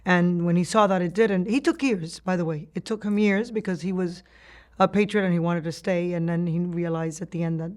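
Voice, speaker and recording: A woman's voice: lowish voice, steady tone, a little fast, darkish tone. Cuban-born woman recalling her past. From Cuba. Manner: reflective storytelling with frequent restarts and laughs. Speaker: interviewee. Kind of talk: oral history interview.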